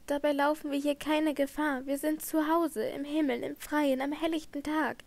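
A woman's voice: high voice, flat delivery, normal speed, no room sound, pitched above the performer's own voice. A woman reading a storybook, voicing a frightened young female singer who speaks in anguished, trembling tones.

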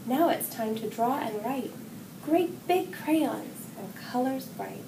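Woman, middle-aged, higher-pitched voice, unhurried, sing-song storybook reading, indoor room, distant mic. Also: expressive. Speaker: children's story reader, hospital executive assistant. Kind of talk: picture book read-aloud for young children. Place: indoor room with wall decorations. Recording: roomy echo, distant mic, clear background noise.

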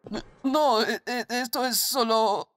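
A man's voice: extremely high pitch, slow, fairly bright tone, dry recording, clean studio sound, heavily acted. A man with a Latin American accent playing a timid, stammering teenage gamer in a fan-dubbed webtoon. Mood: panicked, stammering. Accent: Latin American Spanish. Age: young adult. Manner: stammering, breathless, hesitant. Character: timid, panicky teenage boy, a reclusive gamer. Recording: faint background noise.

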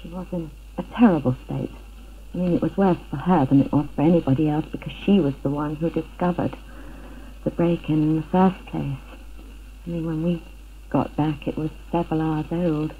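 A woman's voice: low voice, steady tone, moderate pace. A middle-aged woman giving a personal account in an interview, recorded in a living room. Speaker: interviewee, burglary victim. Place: living room at home, indoors. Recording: tinny phone-like 1970s film sound, noisy.

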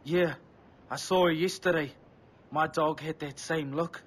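An actor playing a worried, earnest island villager in a video game, with a husky voice and anxious, plain-spoken manner. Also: male voice, on the high side, fairly even intonation, a little slow, fairly bright tone. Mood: worried explaining. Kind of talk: video game quest dialogue.

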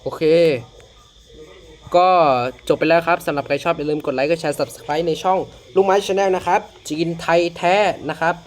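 A man's voice: on the high side, normal speed. A man hosting a casual reaction video, commenting with frequent exclamations. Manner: exclamatory, uses many interjections. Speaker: channel host reacting to videos.

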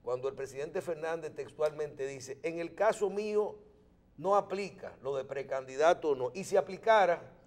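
A man's voice: a little high, expressive, moderate pace, a little mellow. A middle-aged man hosting a TV interview in a studio, building long questions with hesitant restarts, on a lapel mic. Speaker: TV host.

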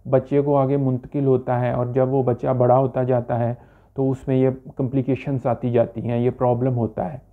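A man, a homeopathic doctor giving an informal, halting medical explanation to camera with English terms mixed in. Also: mid-range voice. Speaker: homeopathic doctor presenting a health video. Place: small furnished indoor room. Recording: dull, limited-bandwidth phone-like sound.

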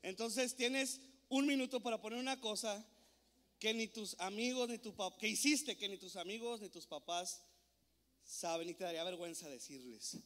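A man's voice: high voice, moderate pace, fairly bright tone. A man in his thirties from Mexico, raised in Texas, preaching a playful, joking youth sermon on a handheld mic in a large church auditorium. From born in Mexico, raised in Texas. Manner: playful, joking, coaxing the crowd. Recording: echoey hall recording.

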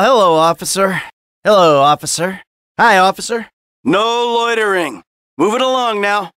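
An actor playing a weary, sardonic, hot-tempered middle-aged American criminal in a video game, grumbling and foul-mouthed. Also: male voice, high voice, fairly bright tone, dry recording, clean studio sound. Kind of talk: acted video game voice lines.

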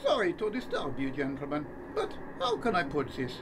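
A man's voice: a little high, wildly swooping pitch, moderate pace. A man playing a polite, inquisitive and apologetic policeman in a radio play. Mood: polite suspicion. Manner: deferential, apologetic, hesitant. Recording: noticeable background noise.

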